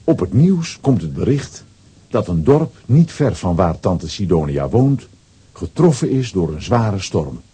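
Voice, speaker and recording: Very dark, husky male storyteller. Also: sits low, a little slow. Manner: storytelling, expressive. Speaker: narrator. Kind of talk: scripted children's story narration.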